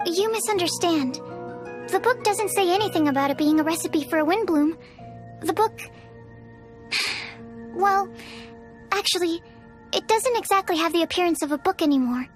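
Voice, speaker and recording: A shy young alchemy researcher from a video game, very high in pitch. She speaks with earnest, hesitant politeness over soft background music. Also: expressive, moderate pace, pauses often. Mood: hesitant, explaining. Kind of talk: video game character acting.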